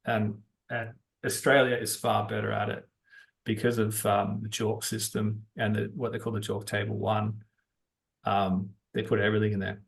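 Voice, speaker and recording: A man giving unscripted explanations as an interview guest, talking haltingly as he thinks aloud. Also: lowish voice, level tone, vocal fry, poor audio. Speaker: interview guest, geology-minded stock investor.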